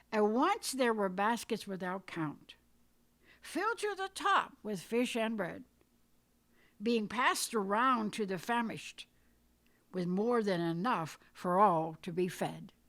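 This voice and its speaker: Slow-paced woman reading a poetic story. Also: medium pitch, a bit bright. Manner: measured, deliberate, storytelling delivery. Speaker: poet reading own work. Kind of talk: dramatic poetry reading, scripted narration.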